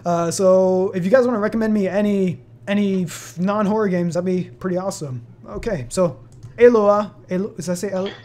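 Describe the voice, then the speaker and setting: A man's voice: high-pitched, a little fast, a little mellow. A seventeen-year-old young man hosting a casual question-and-answer chat on his channel, rambling with many fillers and laughs. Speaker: channel host.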